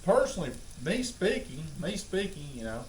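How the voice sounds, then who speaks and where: Animated Southern man spinning yarns, high-pitched voice. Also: normal speed. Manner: rambling, folksy, exaggerating. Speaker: storyteller on a home cooking vlog. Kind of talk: comic anecdote, casual chat. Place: home kitchen with food sizzling. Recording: roomy, distant mic, noisy kitchen.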